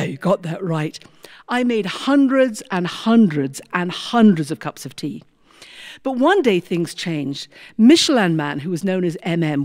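A woman telling a personal story in an expressive way, with dramatic pauses and emphatic repetition. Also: medium pitch, no room sound, clean studio sound. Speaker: storyteller, former prison chaplain. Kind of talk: live-style personal storytelling with acted dialogue.

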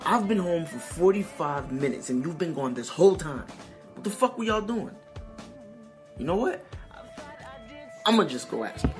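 Audio drama role: actor playing jealous male spouse, quick-tempered and interrogating, music underneath. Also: fairly high pitch, animated, lightly acted. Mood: angry, accusing. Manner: accusing, interrogating. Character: jealous, suspicious, hot-tempered husband. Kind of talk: audio drama acting, confrontational.

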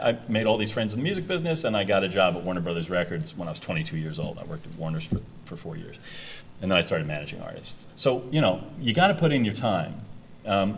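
A man's voice: deep voice, fairly bright tone, slight background noise. A man in his thirties moderating a university panel, talking directly and energetically to students, recorded on a table microphone in a meeting room. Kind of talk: casual panel talk.

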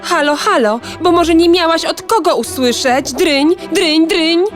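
Piskliwy, spanikowany telefon-zabawka. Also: female voice, very high-pitched, a little slow, faint music behind. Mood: panicky. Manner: panicky, exclamatory, interjecting ringing sounds. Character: frightened, dramatic toy telephone that cries out in alarm. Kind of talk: children's audio drama acting.

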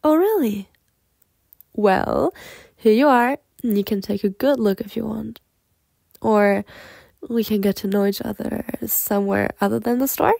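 A woman's voice: medium pitch, measured pace, creaky, long pauses, no room sound. A woman playing a flirty, teasing, confident half-snake lamia in an ASMR roleplay, coaxing the listener. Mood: flustered, curious, then coaxing, playful. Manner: flirtatious, coaxing, breaking into soft giggles and whispered asides.

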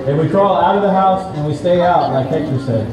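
A man's voice: on the high side, expressive, a little fast, a little mellow, natural voice. A man voicing a friendly puppet who leads a children's fire safety lesson, prompting with questions. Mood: instructive. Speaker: presenter quizzing children. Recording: echoey mall, distant mic, crowd noise.

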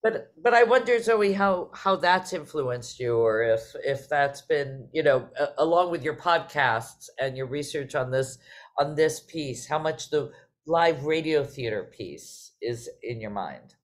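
A woman's voice: low voice, animated, a little slow. A middle-aged woman hosting a conversational interview over a video call in an enthusiastic way. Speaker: host, theatre artistic director.

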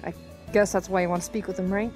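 A woman's voice: medium pitch, fairly even intonation, fast, darkish tone, soft background music, lightly acted. A woman playing a candid, chatty young graduate student in an audio drama. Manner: conversational, candid.

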